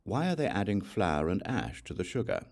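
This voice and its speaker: Male narrator as cautious monk, earnest and doubting, very low, gravelly, audiobook scripture. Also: huge pitch swings, moderate pace, darkish tone, heavily acted. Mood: doubtful. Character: scrupulous male monk, doubting and afraid of wrongdoing.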